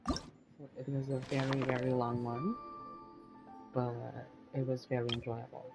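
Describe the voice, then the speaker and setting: A woman giving casual commentary on her own gameplay in a halting, reflective way. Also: a bass voice, flat delivery. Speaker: gamer narrating own gameplay. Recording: loud game music behind voice. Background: video game music.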